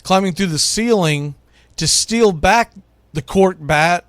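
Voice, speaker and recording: A man's voice: sits high, slow pace, no room sound, clean studio sound. A man from the United States hosting sports radio, talking casually and with firm opinions as he counts down a list, leaning on key words.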